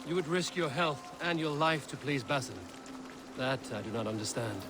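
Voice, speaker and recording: An actor playing a stoic Viking warrior leader in a video game, talking in a terse, dry and guarded way. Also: male voice, mid-range voice, animated, normal speed, music in the background, close to an everyday voice. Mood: concerned, blunt. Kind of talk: scripted dramatic game dialogue.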